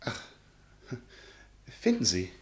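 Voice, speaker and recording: An actor playing a shy, pedantic history professor in a German radio play, precise and scholarly, easily flustered into indignation. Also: male voice, slow, lightly acted. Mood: hesitant.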